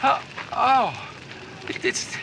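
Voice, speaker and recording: A woman acting a shaken but determined, compassionate survivor in a horror audio drama, halting and stammering through her words. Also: fairly high pitch, huge pitch swings, gravelly, heavily acted. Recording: noisy outdoor scene effects.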